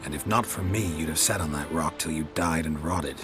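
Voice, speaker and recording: An actor playing a gruff, grizzled monster hunter in a video game, speaking in short, flat lines. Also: male voice, a little fast, over music. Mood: dry. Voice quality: low, flat, terse delivery.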